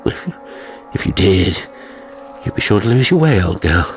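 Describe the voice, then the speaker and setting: A man voicing a tormented English gentleman in an audiobook, evasive and desperate, with a strained, halting intensity. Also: low voice, sing-song, faint music behind. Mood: brisk, dismissive. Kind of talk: audiobook character acting.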